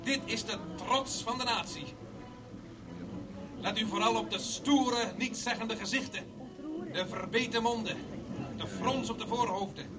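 A man's voice: high voice, measured pace, long pauses, over music. An actor in a radio drama playing a showy, pompous tour guide who declaims to his listeners. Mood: solemn, grandiose. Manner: declamatory, grandiose patter to a crowd. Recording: noisy old radio recording, distant from mic.

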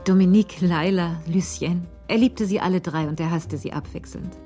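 Mellow, lowish-voiced woman narrator. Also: normal speed, faint music behind. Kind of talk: scripted narration of a radio crime drama.

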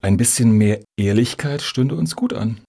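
A man acting in a radio satire as a high-minded cultural activist with a wry, genteel manner. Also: expressive, normal speed, creaky, dark tone, slightly rough.

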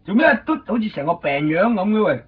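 An actor playing an excitable, anxious young man in a 1990s Cantonese radio drama, speaking colloquial Hong Kong Cantonese as he lives and narrates his story. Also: high voice, quick pace, slightly rough, dry recording, exaggerated voice. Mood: nosy, casual. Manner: colloquial, expressive. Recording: dull 1990s radio recording, loud music behind. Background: music.

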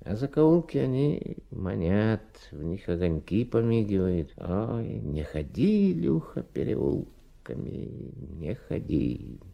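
Folksy old-school workman giving life advice, radio play, low gravelly voice, measured. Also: big pitch swings, muffled recording, exaggerated voice. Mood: wistful, cautioning. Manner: measured, colloquial, sententious. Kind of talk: 1970s radio play acting.

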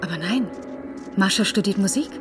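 A woman plays a genteel mother facing interrogation in a radio drama. Her mid-range voice is anxious and evasive, protective of her family. Music plays clearly behind her. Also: mid-range voice, expressive, moderate pace, close to an everyday voice. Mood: protesting. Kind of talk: German radio play acting.

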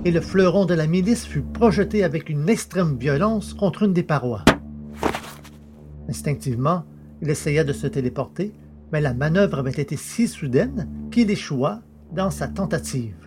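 A woman's voice: sits low, expressive, moderate pace, over loud music. A woman reading scripted, dramatic narration for a science fiction audio drama.

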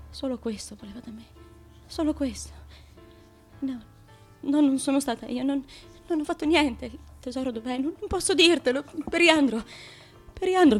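An actress playing the devoted, tormented wife of an ancient Greek tyrant in a radio drama monologue, with an intense, theatrical delivery. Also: female voice, high voice, lightly acted. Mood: hushed, bitter, then desperate, frightened. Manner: intense, dramatic, with hushed asides and outcries.

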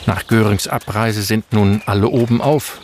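An actor narrating a historical radio drama in a measured, dry, matter-of-fact documentary style. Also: male voice, fairly low pitch, background noise, natural voice. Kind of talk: scripted narration.

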